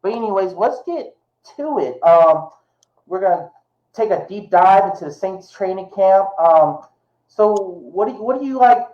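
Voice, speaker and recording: A man's voice: high-pitched, lively intonation, normal speed, roomy sound, highs cut off. A man hosting a podcast, speaking casually and stumbling over repeated words. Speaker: podcast host.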